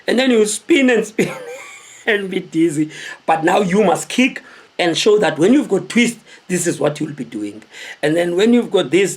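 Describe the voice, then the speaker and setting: A South African man in his thirties tells a story casually to camera, animated and digressive, repeating words for emphasis in a husky voice. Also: mid-range voice. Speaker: vlogger telling stories. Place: small indoor room with a cloth backdrop.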